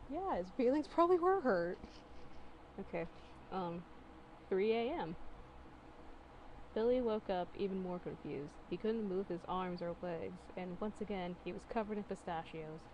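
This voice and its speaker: A woman's voice: on the low side, noisy background. A woman doing a casual dramatic reading of fan fiction, giggly and incredulous, breaking off for mocking asides.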